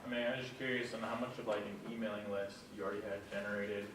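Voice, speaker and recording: Audience questioner, male, flat and tentative; distant, roomy, noticeable hiss. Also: lowish voice, quick pace. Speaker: audience member asking a question. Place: lecture room.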